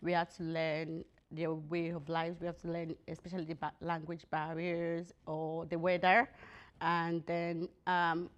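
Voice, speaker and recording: A woman speaks as a podcast guest, taking her time over each thought. Her voice sits fairly low and she pauses and hedges between phrases. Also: monotone, muffled recording. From Nigeria. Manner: measured, halting with fillers. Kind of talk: casual panel discussion.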